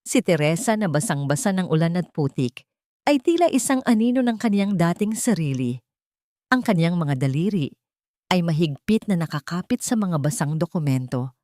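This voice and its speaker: A woman's voice: fairly low pitch, expressive, normal speed, mellow, dry recording, studio quality. A woman storyteller giving a scripted, dramatic audiobook narration.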